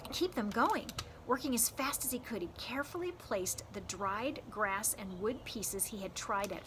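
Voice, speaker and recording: A woman reading a story aloud as a host, with expressive, deliberate and dramatic storytelling delivery. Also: medium pitch, a little hiss or hum. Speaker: read-aloud host for a youth scouting program. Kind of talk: expressive audiobook-style read-aloud narration.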